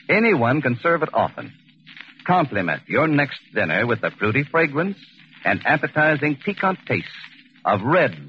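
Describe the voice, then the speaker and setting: Animated American radio announcer, higher male voice. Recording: muffled, hissy 1940s radio recording.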